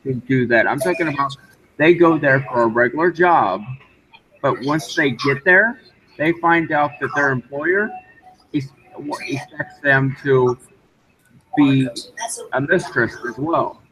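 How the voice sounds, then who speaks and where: A man arguing his point in casual online talk, halting and insistent, often repeating himself. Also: medium pitch, sharp tone. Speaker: discussion guest. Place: online group voice call. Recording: dull, noisy voice-call audio.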